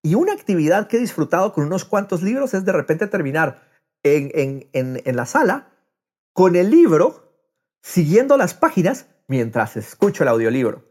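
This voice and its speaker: A man with a fairly high, husky voice talks casually as if on a podcast. His pitch swings widely and lively, and he slips English phrases into his sentences. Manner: drops English phrases into Spanish. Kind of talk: casual conversational podcast talk.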